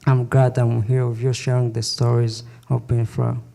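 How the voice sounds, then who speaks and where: A nineteen-year-old man raised in Rwanda, a non-native English speaker, introducing himself haltingly and apologetically as a student speaker. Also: medium pitch, flat delivery, creaky, very noisy. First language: not English. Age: young adult. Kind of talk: hesitant personal introduction.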